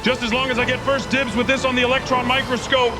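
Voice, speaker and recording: A man's voice: very high-pitched, little pitch movement, fast talker. A man playing a brainy, literal-minded ghost-hunting scientist in a motion comic, technical and matter-of-fact. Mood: intrigued. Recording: loud music behind.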